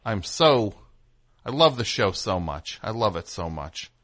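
A man hosting a comedic radio call-in show, chatting casually in an excitable, rambling way with sudden jumps in pitch. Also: measured pace. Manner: excitable, rambling, jumps between high and low. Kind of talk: casual comedic phone chat. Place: radio studio.

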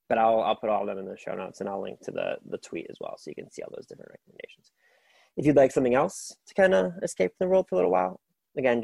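Gravelly low-voiced man hosting a podcast. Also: darkish tone, dry recording. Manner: rambling, filler-heavy, enthusiastic. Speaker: podcast host. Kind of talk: casual solo book recommendations.

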